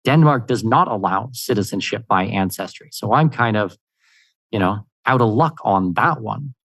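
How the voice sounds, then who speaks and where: A man from Canada, a podcast guest answering questions in an upbeat, energetic way, with plenty of "you know" fillers. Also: fairly low pitch, normal speed, no echo. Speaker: guest, expat consultant. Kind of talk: conversational interview answers.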